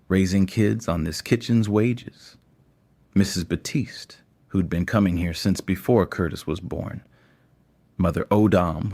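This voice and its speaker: Deep voiced guy narrating an audio story, measured, literary storyteller type read.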